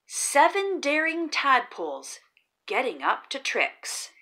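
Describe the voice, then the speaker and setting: A woman reads a picture book to children, very slowly and in a sing-song way, her pitch swooping high and low with every line. Her voice is bright and a little high, and she lingers in a pause before each counted number. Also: wildly swooping pitch, rough recording. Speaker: children's book reader, channel host. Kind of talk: read-aloud storytelling for kids.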